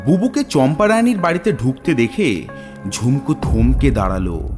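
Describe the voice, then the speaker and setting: A man's voice: mid-range voice, expressive, normal speed. A man narrating a scripted cartoon horror tale as a dramatic storyteller. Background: background music.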